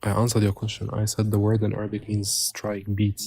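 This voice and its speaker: A man's voice: on the low side, monotone, fairly quick, vocal fry, darkish tone, husky. A man on a live stream as a caller in a casual argument, interjecting for his turn, his voice run through a voice changer. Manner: interjecting, asks for a moment to speak.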